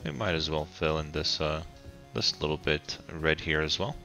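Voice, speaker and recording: A man, raspy from a cold, giving relaxed, halting commentary over a city-building game and often pausing with ums. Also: extremely low pitch, faint music behind. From Colorado. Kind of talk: casual gameplay commentary.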